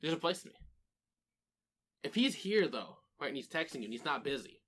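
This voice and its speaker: A man's voice: fairly high pitch, very animated, fairly quick, a bit bright. A man co-hosting a casual podcast, joking and teasing his friend in loose banter. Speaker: podcast co-host. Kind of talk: casual chat.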